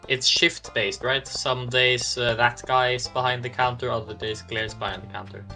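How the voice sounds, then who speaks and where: A man's voice: medium pitch, level tone, moderate pace, pushed and bright. A man chatting casually as he plays a game on a group stream. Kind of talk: casual chat while gaming. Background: music.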